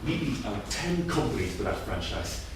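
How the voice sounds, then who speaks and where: A man's voice: mid-range voice, sing-song, normal speed, lightly acted. An actor in a staged play reading, playing a domineering, volatile husband and businessman with an emphatic delivery. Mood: pleased, brisk. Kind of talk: staged reading, theatrical dialogue. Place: indoor theatre at a staged reading. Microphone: distant room microphone. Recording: distant, very echoey theatre room, faint noise.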